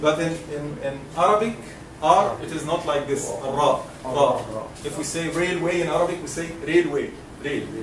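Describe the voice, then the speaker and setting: A man from Egypt leads a classroom lesson, drilling sounds slowly so students can echo them. His voice sits a little high. He is recorded from a distance in a reverberant, noisy room. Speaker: Quran recitation teacher. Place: lecture classroom. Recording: roomy classroom, mic far off, noisy.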